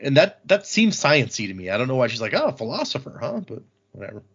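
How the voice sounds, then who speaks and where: A man's voice: mid-range voice, big pitch swings, fast, fairly bright tone, slightly rough, lo-fi sound. A man hosting a podcast, recapping a book in a casual, informal way. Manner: informal, acts out quoted dialogue briefly. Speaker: podcast host.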